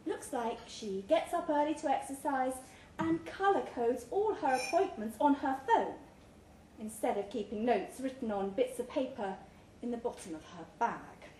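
A woman's voice: fairly high pitch, mellow. A woman reading a literary short story aloud at a live event. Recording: roomy live recording with clear background noise.

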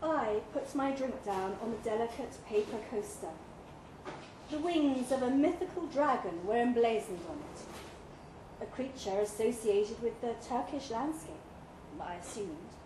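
Woman reading a story at a pub event, slow n deliberate, echoey noisy room. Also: a little high, animated. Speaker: story reader. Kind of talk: scripted literary narration, read live.

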